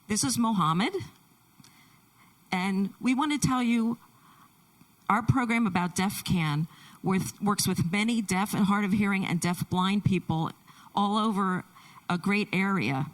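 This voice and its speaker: A woman pastor introducing and interviewing a guest at a church service, speaking in short, deliberate phrases. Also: mid-range voice, far from the mic, rough recording. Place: church sanctuary.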